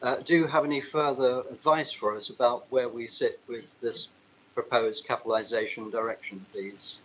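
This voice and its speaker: A man's voice: medium pitch, fairly even intonation, a bit bright, a little hiss or hum, poor audio. A man, a councillor, politely asking a formal question at a committee meeting. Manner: polite, measured.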